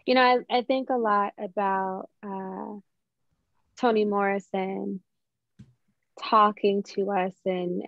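A woman's voice: mid-range voice, vocal fry, no room sound. Young woman, guest writer, reflective storyteller, thoughtful phrasing, dry and slightly dull call audio. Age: young adult. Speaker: guest playwright and poet. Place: small room at home, on a video call.

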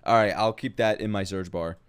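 A man doing casual live-stream reaction commentary to game trailers, excitable, slangy and profane. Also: fairly low pitch, a little fast, clean tone. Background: game trailer music.

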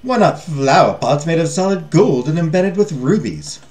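A man's voice: fairly high pitch, lively intonation, normal speed, a little mellow, faint music behind, voice pushed up, close to an everyday voice. A man voicing a grumpy, jaded king from a storybook, imperious and dismissive. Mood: scornful. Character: haughty, jaded, grumpy king who scorns magic. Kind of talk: storybook character reading.

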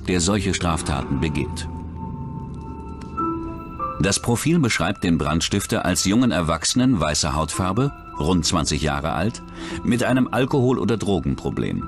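A man's voice: deep voice, expressive, moderate pace. A man reading scripted true-crime documentary narration as the narrator. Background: background music.

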